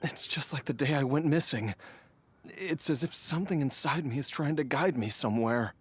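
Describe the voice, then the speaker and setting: An actor playing an ailing but determined young man in a video game, speaking haltingly and with strain. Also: medium pitch, soft background music, natural voice. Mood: uneasy, searching. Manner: halting, strained, breathy hesitations. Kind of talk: dramatic video game voice acting.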